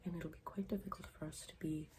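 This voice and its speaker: A woman playing a morbid, deadpan schoolgirl in an ASMR roleplay, speaking softly and formally, often slipping into a whisper. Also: low-pitched, background noise, natural voice. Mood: formal, ominous. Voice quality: soft, breathy, often dropping into whisper. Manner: deadpan, formal, deliberate wording.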